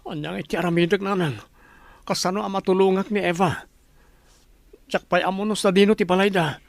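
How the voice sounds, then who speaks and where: Man as an anxious young son, higher-pitched. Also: steady tone, fairly quick, vocal fry, no room sound. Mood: worried. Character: worried devoted young son, earnest sweetheart. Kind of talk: audio drama acting.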